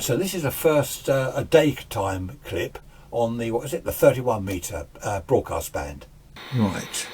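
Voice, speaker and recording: A British man giving a casual, rambling, off-the-cuff demonstration as an amateur radio hobbyist in a home radio room. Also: on the low side. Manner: rambling, conversational. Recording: noisy room, radio static behind.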